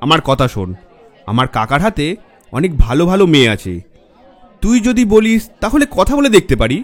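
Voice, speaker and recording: Animated, high-voiced man acting a concerned friend. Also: a little fast. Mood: coaxing. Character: caring young bridegroom friend, nagging, warmly concerned villager's host. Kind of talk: horror audio drama dialogue. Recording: music and faint crowd behind. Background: music, faint crowd murmur.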